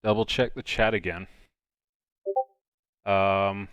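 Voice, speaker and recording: A man's voice: sits low, fairly even intonation, slow, a bit bright, clear, resonant tone, no echo, dull audio. A man hosting a live stream, chatting casually and joking with friends. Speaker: host of a live dubbing stream.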